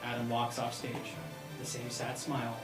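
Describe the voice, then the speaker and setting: A man's voice: medium pitch, completely flat delivery, normal speed. A man narrating a script at a live read-through, stumbling now and then and prone to laughing. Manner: stumbles over lines, breaks into laughter. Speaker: narrator reading stage directions at a table read. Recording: roomy, distant mic, music behind.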